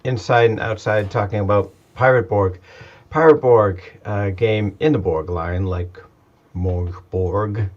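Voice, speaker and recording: A man's voice: on the low side, fairly even intonation, unhurried, highs cut off. A man with a Boston accent leading a casual discussion, jokey and self-deprecating. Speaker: discussion leader. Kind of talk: casual chat.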